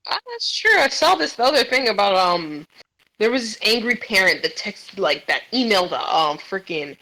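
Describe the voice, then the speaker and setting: A young woman hosting a casual podcast while gaming, with a loud voice, playful swearing and trash talk. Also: mid-range voice, big pitch swings, moderate pace, low-quality recording. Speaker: podcast host.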